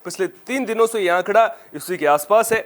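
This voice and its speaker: A man's voice: high-pitched, fairly quick. A man reading a scripted television news bulletin as an anchor, in a formal, presentational manner.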